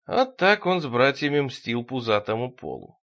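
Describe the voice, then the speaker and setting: A man's voice: mid-range voice, very animated, normal speed, a bit bright, highs cut off. A man voicing a bloodthirsty, vengeful monster in a brooding, menacing inner monologue from a horror audiobook. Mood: bitter, vengeful.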